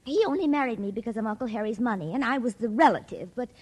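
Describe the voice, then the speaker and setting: An actress playing an excitable, bitter and insistent woman in 1940s radio drama style. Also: a little high, fast, husky. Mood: agitated, accusing. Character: excitable, bitter woman fixated on her runaway second husband. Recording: old radio drama recording.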